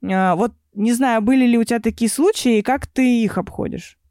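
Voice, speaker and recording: A woman's voice: a little high, moderate pace, mellow, no echo. Reflective woman host, dry close sound. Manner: reflective, thinks aloud with fillers. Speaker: podcast host. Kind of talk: casual interview chat.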